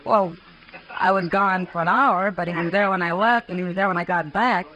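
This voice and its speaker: A woman's voice: lowish voice, normal speed, bright, forceful tone. An actress playing a frank, worldly, caring woman of the Old West in a 1950s radio western, direct and knowing. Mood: matter-of-fact. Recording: noisy 1950s radio recording.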